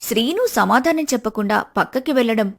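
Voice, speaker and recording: A woman's voice: fairly high pitch, expressive, fairly quick. A woman reading scripted story narration as the narrator of a family tale.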